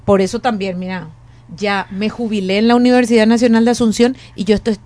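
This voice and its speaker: A woman in her late forties with a Paraguayan Spanish accent speaks as a guest in an interview. She talks earnestly and thinks aloud, restarting and repeating her phrases. Her voice sits at a middle pitch. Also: level tone, a little fast, darkish tone. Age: 40s. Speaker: education academic, guest. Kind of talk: conversational interview.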